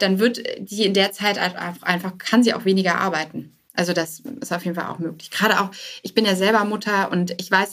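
A woman's voice: medium pitch, fairly bright tone. A woman speaking conversationally as a founder being interviewed on a podcast, dropping English business terms into her talk. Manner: mixes English business jargon into speech.

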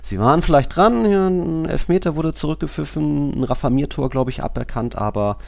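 A man's voice: fairly high pitch, fairly quick, vocal fry, a little mellow. A man with a casual, conversational delivery, talking as a host on a football podcast.

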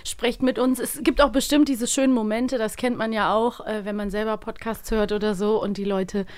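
A woman hosting a podcast in playful, casual banter with crisp articulation. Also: on the high side, steady tone, normal speed, no echo. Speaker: podcast host, professional voice speaker.

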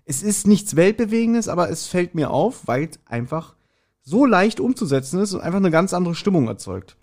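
A man's voice: sits high, very animated, normal speed, a little mellow. A man co-hosting a podcast, chatting casually as he reviews audio dramas and acts out short quotes. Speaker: podcast co-host.

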